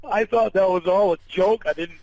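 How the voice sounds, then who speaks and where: A man chatting casually on a phone call in an animated, amused way, often exclaiming. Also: high voice, moderate pace, no echo.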